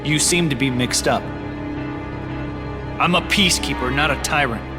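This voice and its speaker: An actor playing an earnest, troubled young warrior in a video game, urgent and defiant. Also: male voice, medium pitch, normal speed, loud music behind the voice, lightly acted. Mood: resolute. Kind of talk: video game cutscene acting.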